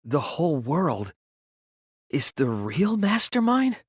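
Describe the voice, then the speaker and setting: A man voicing an earnest, sensitive young student detective in an anime video game, with intense, emotional, sometimes halting delivery. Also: a little high, big pitch swings, husky, dry recording, full character voice. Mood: bewildered, distressed. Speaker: voice actor.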